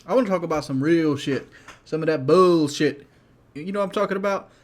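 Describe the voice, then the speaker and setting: A man giving casual, rambling game commentary as a YouTuber, blunt and monotone, with frequent restarts. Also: fairly high pitch, brisk. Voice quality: self-described monotone.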